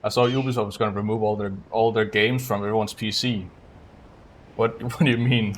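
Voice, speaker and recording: A man's voice: on the low side, steady tone, brisk, slight background noise. A man doing casual live commentary while playing a game, chatting to viewers as he goes. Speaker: speedrunner streaming live.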